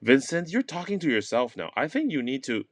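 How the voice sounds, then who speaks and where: A man's voice: medium pitch, big pitch swings, a little fast, dry recording, voice pushed up. A man playing a plain-spoken artist in a stage comedy who is fed up with his friend and protests in exasperation. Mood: concerned.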